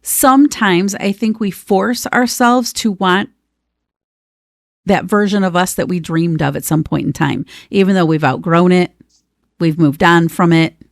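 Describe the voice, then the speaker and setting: A woman's voice: fairly low pitch, a little slow, creaky, no echo, studio quality. A woman co-hosting a conversational personal-growth podcast, speaking in a reassuring, emphatic way and drawing out key words. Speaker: podcast co-host.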